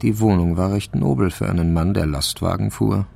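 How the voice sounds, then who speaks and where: A man reading scripted literary narration for a radio crime drama, with a slightly gravelly voice. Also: sits low, normal speed, a little mellow.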